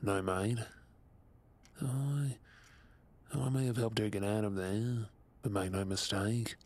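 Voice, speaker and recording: A man with an Australian accent playing a remorseful lab assistant in an audio drama, speaking in a deliberate way. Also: on the low side, darkish tone. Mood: haunted, somber. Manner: somber, deliberate, halting. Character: haunted, remorseful male former lab assistant. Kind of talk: dramatic audio roleplay acting.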